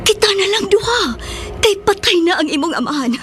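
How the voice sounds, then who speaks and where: A woman's voice: very high voice, lively intonation, moderate pace, a bit bright, slight background noise. A woman playing a fierce, anguished mother in an emphatic, emotional radio melodrama. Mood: stern, accusing. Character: fierce, anguished mother defending her child. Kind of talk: melodramatic radio drama acting. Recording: radio drama with faint music underneath.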